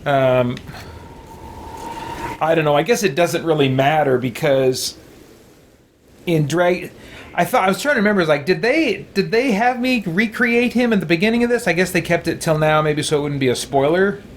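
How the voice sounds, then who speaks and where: A man's voice: on the high side, normal speed, a little hiss or hum. A man giving casual game commentary, thinking aloud with puzzled muttering and mild exclamations. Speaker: gamer hosting a roleplay let's play.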